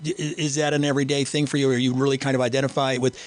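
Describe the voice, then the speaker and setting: Male morning radio host, mid-pitched voice, talkative, loops and restarts through long questions. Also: little pitch movement, very fast, no echo. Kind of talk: radio interview.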